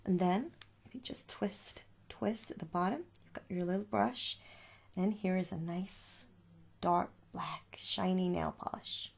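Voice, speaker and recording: A woman in her thirties doing a soft-spoken ASMR shop roleplay, gently reassuring and repeating words for effect. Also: on the low side, a little slow, gravelly, breathy edge. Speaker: ASMR performer playing a shop assistant. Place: small room indoors.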